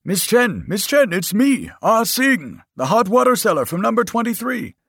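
A man's voice: high voice, very animated, normal speed, a bit bright, slightly rough, no echo, studio quality, pitched above the performer's own voice, heavily acted. A man voicing an old male hot water seller who is kindly and neighborly in an audiobook. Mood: startled. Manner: concerned, neighborly. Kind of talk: audiobook character voice.

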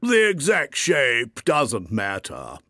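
A man's voice: medium pitch, huge pitch swings, takes it slowly, bright, forceful tone, dry recording, studio quality, pitched above the performer's own voice, heavily acted. A man voicing a solemn, pompous storybook dodo, ponderous and wordy. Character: solemn, pompous male dodo, easily offended. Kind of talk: children's storybook character voice.

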